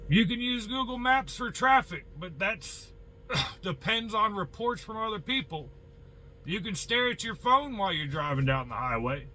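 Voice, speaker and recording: An American man with a high, very bright and forceful voice speaks like a working trucker giving advice. He is blunt and folksy, casually opinionated, with mild cursing and questions thrown at the listener. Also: animated, moderate pace, rough recording. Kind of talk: casual opinionated monologue to viewers.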